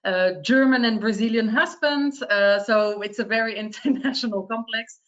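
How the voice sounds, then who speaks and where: A woman's voice: on the high side, normal speed, a bit bright. Panelist: woman in her thirties, cheerful and easygoing; slightly muffled video call sound. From France. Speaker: real estate panelist. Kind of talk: online webinar introduction.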